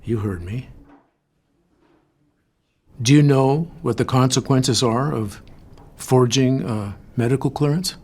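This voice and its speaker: An actor playing a stern, measured male superior officer in an audio drama, firm but reluctant. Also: mid-range voice, darkish tone, husky. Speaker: boss of an agent. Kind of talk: audio fiction drama acting.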